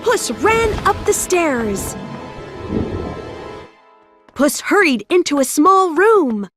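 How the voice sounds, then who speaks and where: A woman's voice: extremely high pitch, very animated, drawn-out words, over music. A woman narrating a scripted children's story as the storyteller.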